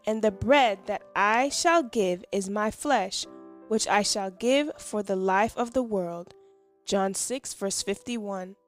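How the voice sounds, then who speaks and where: A woman reading a scripted devotional in a measured, reverent manner. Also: medium pitch. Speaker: devotional reader and hymn singer.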